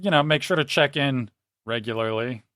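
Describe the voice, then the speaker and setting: Man, medium pitch, dry sound, casual role-play chat, rambling, tabletop game player. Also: moderate pace, sharp tone, no room sound.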